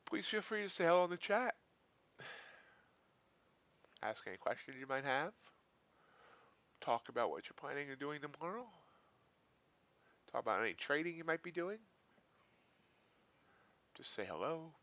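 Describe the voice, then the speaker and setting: A man's voice: fairly high pitch, very animated, normal speed, long pauses, a bit bright. A man hosting a live trading stream, thinking aloud in casual, folksy commentary. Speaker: live-streaming futures trader, host. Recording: dull, lo-fi livestream audio.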